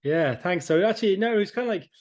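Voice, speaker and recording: Man, 40s, English-Irish accent, slightly high voice, easygoing rambling host. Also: expressive, fast talker, darkish tone. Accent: mix of English and Irish. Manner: rambling, many fillers like "you know". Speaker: podcast host. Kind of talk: casual interview chat.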